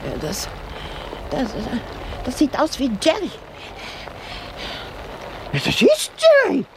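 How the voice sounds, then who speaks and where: An actress playing an inquisitive, fretful elderly wife in a radio drama, halting and repeating herself with exclamations. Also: female voice, high voice, huge pitch swings, background noise, exaggerated voice. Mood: curious, flustered.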